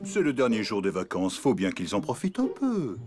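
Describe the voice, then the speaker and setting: In a cartoon, a laid-back elephant dad who muses aloud with humor. His voice swoops up and down very widely, over a clear music bed. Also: lowish voice, huge pitch swings, brisk. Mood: amused. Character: easygoing elephant father, gently humorous. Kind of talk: French cartoon dub.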